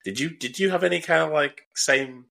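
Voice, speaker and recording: A man's voice: a little high, fairly quick, vocal fry, sharp tone. A young man co-hosting a podcast, telling stories about himself with self-mocking jokes. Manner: self-mocking, joking asides. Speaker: podcast co-host. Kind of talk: casual podcast chat.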